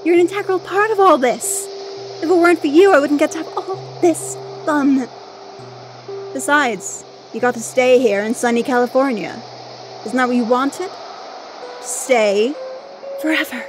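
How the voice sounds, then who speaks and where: A woman's voice: high voice, unhurried, pauses often, over music. A woman playing a mocking, menacing, vengeful female ghost in a supernatural radio drama. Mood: gloating menace. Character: taunting, vengeful female ghost who strangles people. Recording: noisy ambience behind voice.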